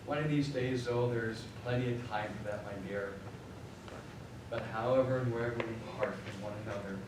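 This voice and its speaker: An actor playing a gentle, grieving father in a live radio drama, tender and halting. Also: mid-range voice, natural voice. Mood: grieving tenderness. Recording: echoey live hall, distant mic, noisy.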